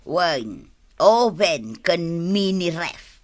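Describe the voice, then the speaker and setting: A woman's voice: on the low side, very animated, very slow, full character voice. A woman acting a bossy, practical wife with a small food business in an Ilocano radio comedy drama. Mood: nagging.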